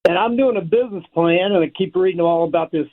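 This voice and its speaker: A man's voice: high voice, fast. An older American man calling in to a radio show by phone, chatting casually in a folksy, self-deprecating way. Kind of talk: casual chat. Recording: narrow tinny phone line.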